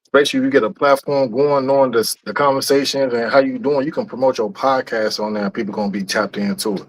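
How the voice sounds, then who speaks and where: A man's voice: mid-range voice, steady tone, fast talker, dry recording, low-quality recording. Eager man giving advice, dry sound. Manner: eager, pushy advice with slang. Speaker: guest. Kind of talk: casual chat.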